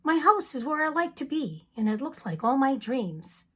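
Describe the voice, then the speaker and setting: Calm, content dreamer of a man, voiced by a woman. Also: sits high. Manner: gentle, unhurried, matter-of-fact.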